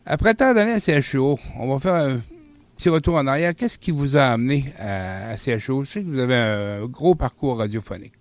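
A man's voice: mid-range voice, animated, a little fast, no room sound. A man hosting a radio interview in a studio, asking questions with a few fillers. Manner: friendly questioning, some fillers. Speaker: radio host. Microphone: studio mic.

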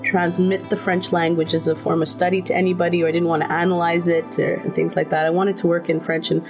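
A middle-aged woman whose first language is Finnish, giving thoughtful interview answers with plenty of fillers and restarts. Her voice sits fairly low and has a gravelly, creaky edge. Music plays clearly underneath her. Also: little pitch movement, fast.

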